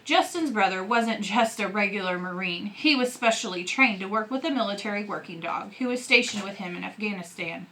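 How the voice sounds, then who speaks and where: A woman teacher reading a novel aloud in an expressive narrating style. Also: medium pitch, fairly quick, hardly any pauses, a little hiss or hum.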